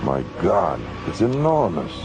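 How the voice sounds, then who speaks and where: An actor playing a space commissioner in a radio drama, speaking in a lively, conversational way. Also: male voice, low-pitched, a little slow, highs cut off, music in the background, full character voice. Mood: wary. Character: male space commissioner, genial yet wary.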